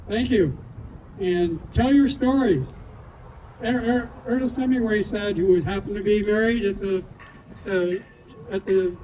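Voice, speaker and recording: Male public speaker, high but mellow voice, halting delivery with restarts, recorded outdoors from a distance. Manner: halting, repeats and restarts words. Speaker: guest speaker at a public event. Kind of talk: informal public speech on local history. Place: outdoors at a downtown festival. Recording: distant outdoor mic, street noise.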